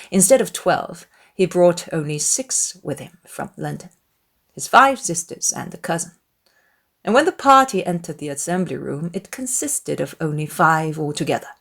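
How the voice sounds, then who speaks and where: A woman reading a dramatized literary audiobook in an expressive, measured storytelling manner. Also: lowish voice. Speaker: audiobook narrator.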